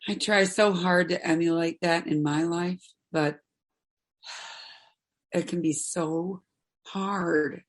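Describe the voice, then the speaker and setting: A gentle grieving mother, acted by a woman, talks very slowly in a fairly low voice. She is tender and reflective, as in a quiet stage drama. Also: fairly even intonation. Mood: tender grief. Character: Kathy, gentle consoling mother grieving her adult son. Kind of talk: stage play reading.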